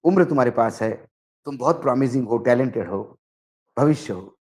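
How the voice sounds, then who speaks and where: A man giving political commentary, speaking emphatically and deliberately in short phrases as he recounts dialogue. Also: medium pitch, lively intonation, a little mellow. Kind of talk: political commentary, narrating and translating a reported conversation.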